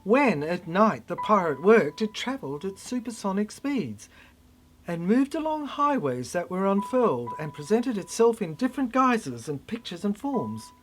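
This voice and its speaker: A narrator performing a theatrical spoken-word monologue in an emphatic way, with halting repeats. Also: mid-range voice, big pitch swings, faint music behind, poor audio. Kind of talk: dramatic spoken-word narration of a cryptic science-fiction monologue.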